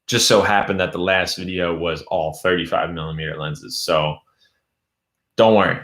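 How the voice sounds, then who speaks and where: A man's voice: low voice, steady tone, moderate pace, fairly bright tone. Casual young man, roomy live stream. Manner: loose, rambling, reads chat aloud. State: losing his voice. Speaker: YouTube host.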